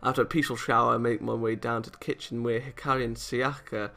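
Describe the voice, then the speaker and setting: A man reading a visual novel aloud as a let's-play narrator, expressive and theatrical, with game music behind him. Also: mid-range voice, fast, vocal fry.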